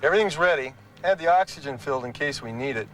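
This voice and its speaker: Helpful male assistant played by an actor, slightly high register, practical and caring, scripted dramatization. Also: expressive, fast, fairly bright tone, soft background music, poor audio. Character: attentive male aide to a busy businessman. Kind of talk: acted medical dramatization.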